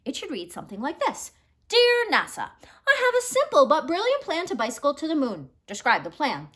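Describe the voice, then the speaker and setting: Female teacher reading a children's story: high, bright voice, expressive and emphatic. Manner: animated, instructive, emphatic storytelling delivery. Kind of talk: expressive children's picture-book read-aloud. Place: small living room at home, indoors.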